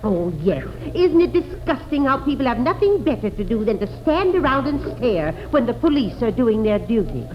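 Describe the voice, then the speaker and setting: A woman's voice: a little high, sing-song, moderate pace, hardly any pauses, muffled recording. A woman playing a fussy, scolding but doting grandmother in 1940s radio comedy, prim and indignant. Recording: noisy band-limited 1940s radio, audience behind.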